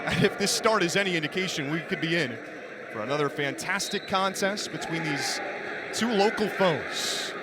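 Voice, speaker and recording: A man doing live sports play-by-play with an excitable, punchy delivery. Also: a little high, moderate pace. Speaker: play-by-play announcer. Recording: loud arena noise behind commentary.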